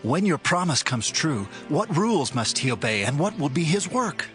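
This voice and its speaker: A man's voice: on the high side, big pitch swings, moderate pace, soft background music, pitched above the performer's own voice. A man voicing Manoah, an earnest, anxious, devout father, in a dramatized Bible reading.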